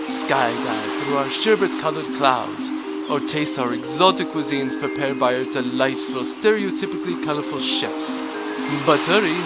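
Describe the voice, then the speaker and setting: A man playing a smooth-talking pitchman in a parody travel commercial. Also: lightly acted. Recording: loud music bed under voice.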